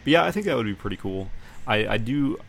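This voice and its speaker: A man's voice: mid-range voice, big pitch swings, fast, creaky, slight background noise. A man hosting a casual podcast chat, putting questions and opinions to the group. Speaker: podcast host.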